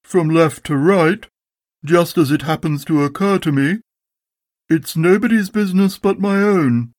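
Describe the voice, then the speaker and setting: A storybook reader voicing a gloomy, dignified male donkey with a deadpan, ponderous, sarcastic manner. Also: mid-range voice, a little slow, no echo, studio quality, pitched above the performer's own voice, lightly acted. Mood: cold, dignified. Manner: deadpan, ponderous, coldly sardonic. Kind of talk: storybook character voice.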